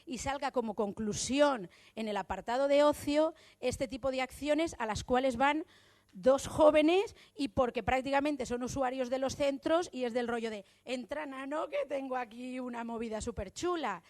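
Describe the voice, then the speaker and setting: Woman, youth worker, rambling question full of fillers, high voice. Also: moderate pace, a little mellow. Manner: rambling, hesitant with many fillers. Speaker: youth services worker asking from the audience. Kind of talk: audience question at a conference. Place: conference hall.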